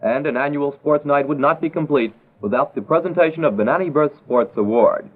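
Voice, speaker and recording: A man's voice: on the high side, brisk. A man reading a scripted local announcement as a vintage radio station announcer. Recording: tinny vintage radio broadcast.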